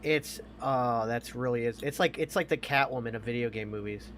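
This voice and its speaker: A man's voice: medium pitch, normal speed, a bit bright, a little hiss or hum. A man chatting casually about movies as a podcast co-host, emphatic, opinionated and given to swearing.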